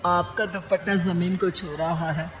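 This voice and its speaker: A woman voicing a confident young bachelor suitor with brisk, persuasive talk in a cartoon story. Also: on the low side, steady tone, a little hiss or hum, close to an everyday voice. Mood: confident, wooing.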